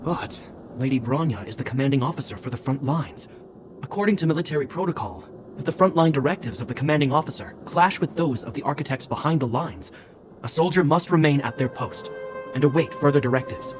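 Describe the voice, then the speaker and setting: Guy doing a stern young army captain for a game, formal and commanding, on the higher side, music behind. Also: brisk, lightly acted. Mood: solemn, conflicted. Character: dutiful, stern young male guard captain. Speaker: military captain. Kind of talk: dramatic video game voice acting.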